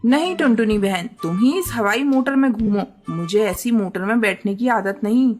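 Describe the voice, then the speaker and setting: Gentle cartoon she-bird, acted by a woman: high-pitched, kind, reassuring, with clear music behind. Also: moderate pace, close to an everyday voice. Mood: polite.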